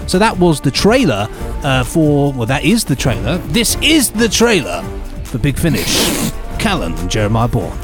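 A man's voice: medium pitch, huge pitch swings, moderate pace, music in the background. A man co-hosting a podcast, chatting in a rambling, playful, ad-libbing way. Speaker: podcast co-host. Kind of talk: jokey casual chat.

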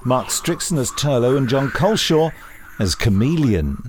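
A man's voice: mid-range voice, very animated, a little slow, very noisy. A man chatting casually as a podcast host. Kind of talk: casual chat.

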